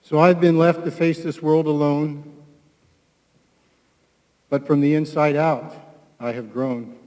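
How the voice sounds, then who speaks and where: A man, a military veteran, reads his own poem solemnly in short, measured phrases. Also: on the high side, fairly even intonation, a little mellow. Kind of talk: solemn poetry reading. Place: large hall at a public ceremony. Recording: echoey hall with long reverb.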